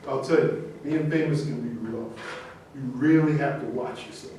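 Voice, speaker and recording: A reasonable but reproving veteran played by a man argues plainly in a mid-pitched voice. He is heard at a distance in a very echoey, faintly noisy room. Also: moderate pace, warm tone, lightly acted. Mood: matter-of-fact. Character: reasonable, reproving male actor and Navy veteran. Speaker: actor in a staged reading. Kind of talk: staged play reading. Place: echoey indoor room at a staged reading.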